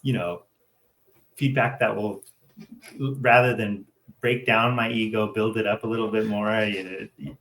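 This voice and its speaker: An American man co-hosting in casual, unscripted chat, speaking in a halting, rambling, joking way. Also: on the low side, steady tone, a bit bright, a little hiss or hum. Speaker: co-host.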